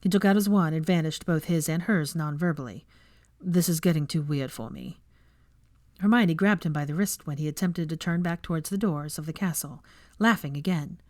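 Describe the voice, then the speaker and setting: A woman reading fan fiction aloud as narrator, in expressive scripted storytelling. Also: low voice, a little fast, no room sound, studio quality.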